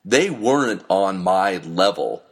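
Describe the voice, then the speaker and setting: A man's voice: deep voice, lively intonation, slow, husky, full character voice. A man playing a bitter, scornful cynic who despises quitters, in an audio drama.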